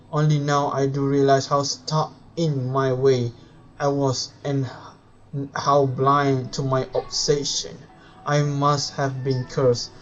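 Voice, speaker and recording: Slow, higher-voiced male streamer. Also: a little hiss or hum. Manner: halting, stumbling phrasing. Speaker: game live streamer. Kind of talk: live stream reading aloud and commentary. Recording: dull lo-fi mic, faint game music.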